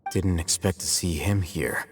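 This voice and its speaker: An actor playing a composed, analytical young scholar in a video game, speaking in a measured, matter-of-fact way. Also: male voice, low voice, big-room sound, noisy background. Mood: serious, analytical.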